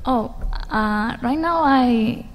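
A woman talking casually and haltingly as a guest on a convention panel. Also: a little high. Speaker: panelist, comic artist. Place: convention panel stage before an audience. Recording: reverberant hall.

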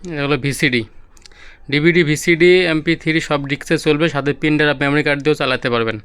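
A man's voice: a little high, fairly even intonation, fast talker, a bit bright, clear, resonant tone. A man with a Bangladeshi accent giving a casual, repetitive product demonstration as a seller showing electronics to viewers.

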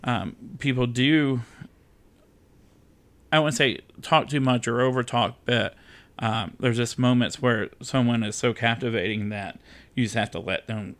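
A man's voice: mid-range voice, a little slow. A man from the American South, a podcast guest talking candidly and with self-deprecating humor, using many fillers. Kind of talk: casual interview chat.

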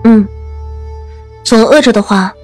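A woman's voice: a little high, takes it slowly, soft background music. An actress playing a guarded, proud young lady of ancient China in a costume audio drama. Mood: cool, defiant.

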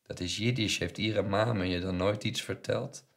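Man putting on a small, animated old shopkeeper, sixties. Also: on the low side, moderate pace, vocal fry, pitched above the performer's own voice. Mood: confiding. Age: 60s. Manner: mixes Dutch with French and Yiddish.

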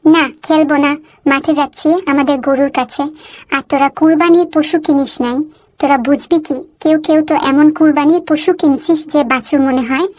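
A woman's voice: high-pitched, fairly even intonation, moderate pace, darkish tone, dry recording, close to an everyday voice. A woman voicing a spoiled, bragging cartoon fox child, haughty and taunting. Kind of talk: Bengali cartoon dialogue.

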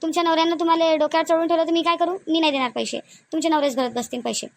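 A woman voicing a domineering, thrifty, quick-tempered cartoon mother-in-law with a Varhadi Marathi accent, nagging in repeated rhetorical questions. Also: extremely high pitch, steady tone. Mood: scolding, sarcastic. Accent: Varhadi Marathi (Vidarbha). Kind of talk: comedic cartoon dialogue.

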